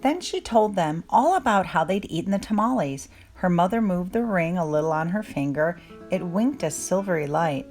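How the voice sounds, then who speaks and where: A woman reads a children's story aloud in a fairly low voice. Her intonation moves around a lot, with measured storytelling delivery. Guitar music plays softly behind her. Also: expressive. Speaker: children's book reader. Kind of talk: expressive storybook read-aloud narration. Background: plucked guitar music.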